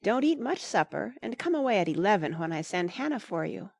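Woman as an affectionate mother with lively, swooping pitch. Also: brisk, gravelly, no echo, clean studio sound. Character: affectionate, solicitous middle-aged mother. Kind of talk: audiobook character voice.

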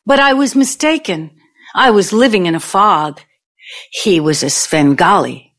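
A woman's voice: mid-range voice, animated, fairly bright tone. A woman in an audio play acting a vengeful, older ex-girlfriend who needles and tells her story theatrically. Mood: earnest, bitter. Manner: deliberate, taunting, storytelling delivery.

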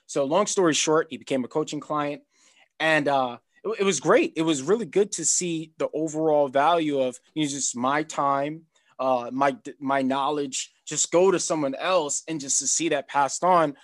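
Man, slightly high pitch, casual interview chat, rambling, repeats himself. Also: moderate pace. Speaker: podcast host.

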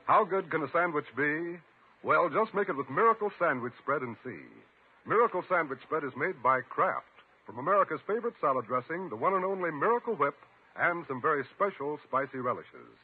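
Very husky, animated male ad announcer. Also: on the high side. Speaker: commercial announcer. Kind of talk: 1950s radio ad read. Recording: tinny, narrow-band 1950s radio recording.

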